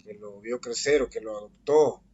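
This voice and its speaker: A man, a guest poet answering interview questions thoughtfully, pausing often with fillers and restarting his sentences. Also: mid-range voice, mellow, slight background noise. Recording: dull lo-fi recording.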